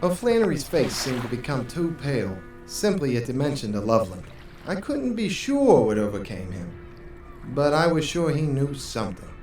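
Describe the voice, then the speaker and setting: A man's voice: mid-range voice, huge pitch swings, normal speed, a little mellow. A man playing a hard-boiled, world-weary 1940s private investigator in a noir radio drama, with a wry, brooding manner. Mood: probing. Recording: roomy, distant microphone, music underneath.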